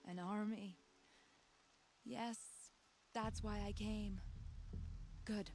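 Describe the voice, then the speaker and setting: A woman's voice: mid-range voice, fairly even intonation, extremely slow, a little mellow, slight background noise. An actress playing a grave foreign woman hunter who speaks tersely in video game dialogue.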